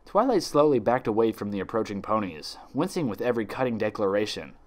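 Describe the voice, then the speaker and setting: A man's voice: fairly low pitch, very animated, fast talker. A man reading a fan fiction story aloud as a dramatic scripted narrator.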